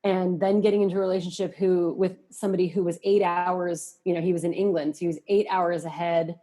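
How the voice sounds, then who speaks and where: A woman hosting a podcast in casual chat, animated and confiding, often acting out her inner thoughts as she talks. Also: fairly low pitch, fairly quick. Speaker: podcast host.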